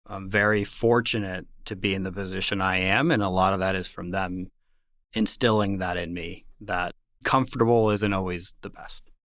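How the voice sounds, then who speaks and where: Smooth, low-voiced man, reflective guest. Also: fairly even intonation, normal speed, a bit bright, no room sound, studio quality. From Ecuador. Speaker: guest, risk and portfolio manager. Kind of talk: podcast interview answers.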